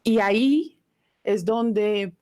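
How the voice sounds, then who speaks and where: A middle-aged woman whose first language is Italian, giving a live online voice lesson in an unhurried, reflective teaching manner. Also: mid-range voice, dark tone.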